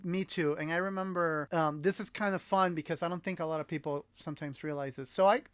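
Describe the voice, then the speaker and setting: A man's voice: a little high, fairly even intonation, quick pace, fairly bright tone, no echo. A man from El Salvador, a native Spanish speaker, co-hosting a podcast and casually discussing films with earnest enthusiasm. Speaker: podcast co-host.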